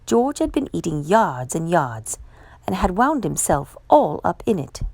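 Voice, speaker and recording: A woman reading a children's picture book aloud as a storyteller, with expressive, measured delivery. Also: lowish voice, creaky, no echo. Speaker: storytime reader.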